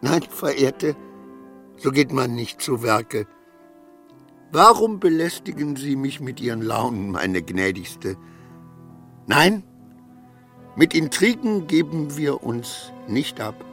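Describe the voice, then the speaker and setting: A man's voice: medium pitch, lively intonation, slow pace, pauses often, fairly bright tone, soft background music. An actor playing a distraught, obsequious man losing his grip in a radio play, rambling and questioning himself in formal, old-fashioned phrases. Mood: agitated, indignant.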